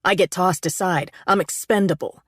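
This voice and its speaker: A woman's voice: lowish voice, moderate pace, husky, no room sound, clean studio sound. A woman voicing a sullen, volatile young man. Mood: sullen accusing. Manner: sullen, accusing. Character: young man, possessive, volatile, sulking lover.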